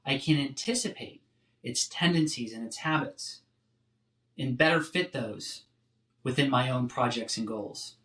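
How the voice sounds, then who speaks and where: A man delivering a scripted academic lecture in a measured, articulate, emphatic way, like a professor. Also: mid-range voice, a bit bright. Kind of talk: scripted philosophy lecture narration. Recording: roomy, echoing sound.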